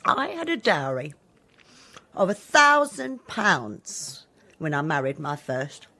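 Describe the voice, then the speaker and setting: An actress playing a pretentious, self-pitying middle-aged widow in a period radio comedy, with a theatrical, lingering manner. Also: female voice, sits low, very animated, slow pace, sharp tone, exaggerated voice. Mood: boastful.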